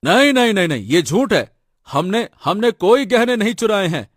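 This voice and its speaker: A man's voice: sits high, very animated, normal speed, dry recording, clean studio sound. A man playing a sensible, protective elder brother from a poor village in a cartoon tale, speaking in an earnest, reasoning way. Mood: indignant. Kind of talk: Hindi cartoon story dialogue.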